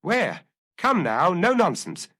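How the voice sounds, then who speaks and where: A man voicing a brisk, commanding ex-police detective in an audiobook, brusque and impatient as he bargains. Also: high-pitched, sing-song, bright, forceful tone, no echo, studio quality, pitched above the performer's own voice, exaggerated voice. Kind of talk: audiobook character acting.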